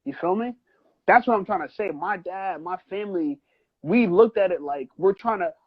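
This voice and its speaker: A man's voice: sits high, highs cut off. A man, first generation with Trinidadian parents, co-hosting a podcast and arguing his point in a heated casual debate, often adding "you know what I'm saying". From United States, child of Trinidadian parents. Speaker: podcast co-host.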